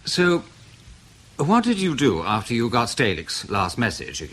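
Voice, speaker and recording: An actor in radio drama playing an intelligence interrogator in his thirties, measured and probing, polite but insistent. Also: mid-range voice, wildly swooping pitch, a bit bright, a little hiss or hum. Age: 30s.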